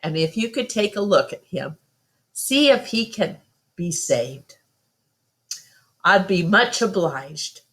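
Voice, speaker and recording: A woman's voice: lowish voice, lively intonation, takes it slowly, pauses often, fairly bright tone. A woman storyteller voicing a country father who is firm, polite and worried.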